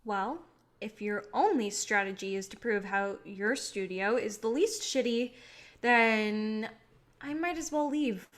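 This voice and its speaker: A woman's voice: mid-range voice, a little slow, close to an everyday voice. A woman playing a guarded, assertive young indie film director in a staged play reading, firm and direct.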